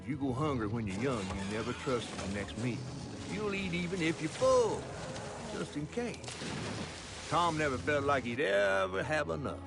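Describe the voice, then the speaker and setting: An actor voicing a folksy, playful giant catfish storyteller with a Southern US accent and a theatrical, teasing drawl in a video game. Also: male voice, a little high, animated, normal speed, creaky. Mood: wry storytelling. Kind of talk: video game character acting and narration. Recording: loud music behind.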